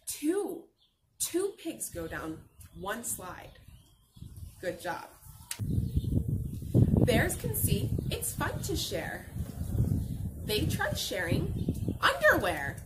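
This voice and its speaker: Young woman, children's read-aloud, slow-paced, lively intonation, instructive, question-and-answer delivery, noisy outdoor recording. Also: on the high side. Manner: expressive, instructive, asks questions and answers them. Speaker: teacher reading aloud to children. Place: outdoor patio by a garden.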